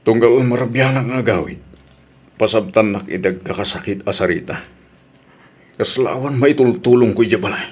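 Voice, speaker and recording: An actor playing a remorseful, troubled husband in a radio drama, pleading hesitantly and stammering. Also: male voice, lowish voice, normal speed, a little mellow, husky. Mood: downcast, frustrated.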